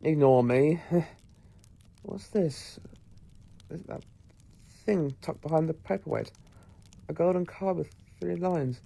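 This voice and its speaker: Eccentric professor and time traveller, male actor, audio drama. Clever, take-charge, musing; gravelly edge, soft dark tone. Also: a little high, slow, pauses often, full character voice. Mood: brisk, absent-minded.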